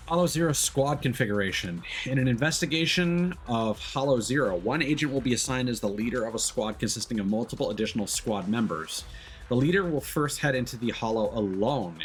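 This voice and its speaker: A man streaming a video game, commenting live and reading on-screen text aloud. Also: medium pitch.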